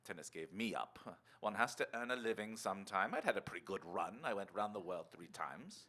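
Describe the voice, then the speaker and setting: An actor playing a suave, calculating former tennis star in a radio drama, with an affable, glib, urbane manner. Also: male voice, fairly low pitch, animated, fairly quick, pushed and bright. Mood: smooth, confiding. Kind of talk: stage radio-drama acting.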